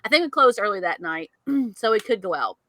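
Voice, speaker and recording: A woman co-hosting a podcast, telling a story in an animated way and laughing often. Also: medium pitch, fairly quick, slight background noise. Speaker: podcast co-host. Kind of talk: casual storytelling chat.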